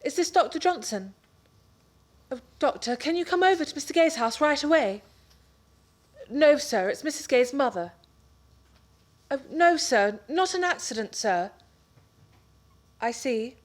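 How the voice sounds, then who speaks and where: An actress playing a flustered, worried young wife who fusses anxiously, in 1930s radio-play style. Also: female voice, high-pitched, expressive, unhurried, long pauses.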